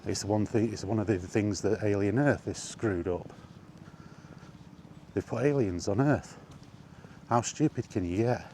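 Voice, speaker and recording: A man's voice: fairly low pitch, measured pace, warm tone, slight background noise. A British man giving a casual, rambling, blunt and exasperated monologue as a film vlogger.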